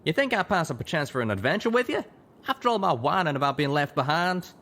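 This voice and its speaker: A man's voice: fairly high pitch, sing-song, fast talker, fairly bright tone, pitched above the performer's own voice. A man voicing a plain-spoken, loyal cowboy pegasus stallion in an audio drama. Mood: eager.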